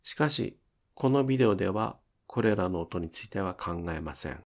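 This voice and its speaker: A man's voice: sits low, lively intonation, normal speed. A man giving scripted instructional narration as a pronunciation teacher, enunciating carefully step by step.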